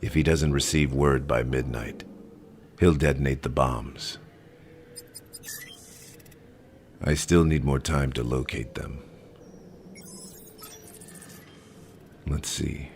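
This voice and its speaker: An actor playing a grim, terse masked male vigilante with a deep gravelly voice in a dramatic audio roleplay. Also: steady tone, a little hiss or hum, heavily acted. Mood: grim, calculating. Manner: terse, slow, brooding, commanding.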